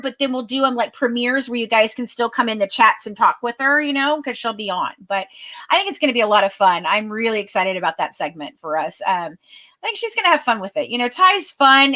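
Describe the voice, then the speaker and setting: A woman co-hosting a live podcast stream, chatting excitedly with plenty of fillers and restarts. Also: a little high. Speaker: podcast co-host. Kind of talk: casual live chat.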